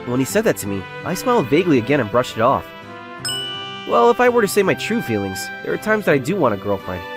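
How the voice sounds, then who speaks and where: A man's voice: medium pitch, wildly swooping pitch, fast, music in the background. A man playing an earnest, self-doubting young salesman who secretly feels like a nerd, narrating his own story in a comic dub. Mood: confiding, wistful. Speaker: narrator and lead character of a romance comic dub. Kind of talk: first-person dramatised narration.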